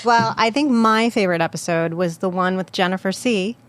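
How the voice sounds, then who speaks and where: Chatty American woman podcast host. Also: medium pitch. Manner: chatty, quick, laughs often. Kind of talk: casual chat.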